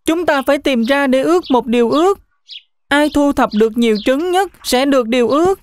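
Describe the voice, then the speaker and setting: A woman's voice: high-pitched, lively intonation, brisk, slight background noise. A woman voicing an earnest, determined young goat in a children's cartoon. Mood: earnest, pleading.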